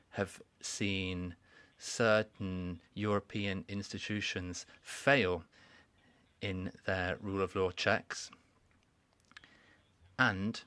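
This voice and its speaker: A man giving a formal welcoming speech at a conference, measured and deliberate, with pauses and restarts. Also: fairly low pitch, fairly bright tone, no room sound. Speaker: conference speaker. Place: conference hall.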